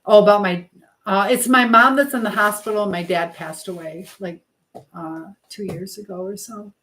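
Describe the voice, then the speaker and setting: A woman's voice: medium pitch, steady tone, moderate pace, a bit bright, rough recording. An American woman chatting casually with livestream viewers from her home kitchen, reading out and answering their comments as she cooks. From United States. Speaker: livestream host. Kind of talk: casual live chat with viewers while cooking.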